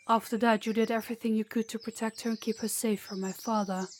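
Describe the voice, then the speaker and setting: A woman's voice: fairly high pitch, darkish tone, slight background noise, close to an everyday voice. Woman acting as an earnest, gracious fantasy queen. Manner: formal, measured, sincere. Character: earnest, remorseful queen of a kingdom, gracious and formal. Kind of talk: scripted fantasy audio roleplay.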